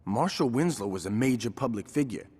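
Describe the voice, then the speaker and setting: Husky, ruthless gang lieutenant. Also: male voice, medium pitch, animated, brisk, darkish tone, long reverb tail. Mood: calculating. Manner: terse, profane, calculating. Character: cool-headed, ruthless young male gang lieutenant.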